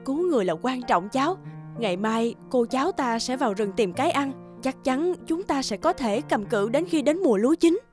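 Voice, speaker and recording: A woman voicing a gentle, selfless middle-aged peasant aunt in a cartoon, soothing and maternal. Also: high voice, animated, normal speed. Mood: reassuring. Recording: music behind the voice.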